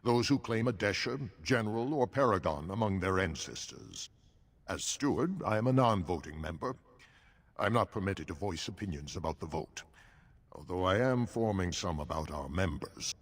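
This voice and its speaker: An actor playing a discreet dwarf steward in a video game, with a wry, officious manner. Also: male voice, low-pitched, expressive, normal speed. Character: discreet, chatty male dwarf political steward. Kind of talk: video game dialogue acting.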